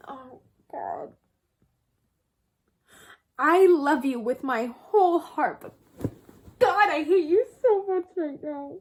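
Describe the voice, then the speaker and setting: A woman's voice: high-pitched, expressive, slow, long pauses, a little mellow, a little hiss or hum, full character voice. A young woman playing a hurt, angry, grieving teenage best friend in an amateur drama, raw and accusatory. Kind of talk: amateur stage drama monologue.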